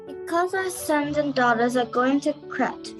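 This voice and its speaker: A woman as a high-voiced grieving parent. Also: measured pace, over music. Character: grieving Athenian parent fearing for the children. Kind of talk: amateur radio theater reading.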